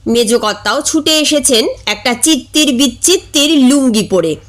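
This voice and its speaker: A woman reading a comic short story aloud in an expressive, dramatic way. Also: high-pitched, normal speed. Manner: expressive, acts out characters' dialogue.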